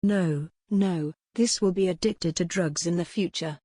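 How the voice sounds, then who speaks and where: A woman's voice: on the low side, measured pace, warm tone, breathy edge, dry recording, studio quality. Woman reading plaintive, flippant young male butler. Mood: judgmental. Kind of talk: audiobook character dialogue.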